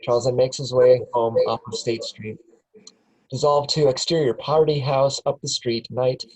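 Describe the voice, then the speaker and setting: A man's voice: medium pitch, fairly even intonation, moderate pace, a little mellow, rough recording. A man reading the stage directions aloud at a script table read, plain with small chuckles.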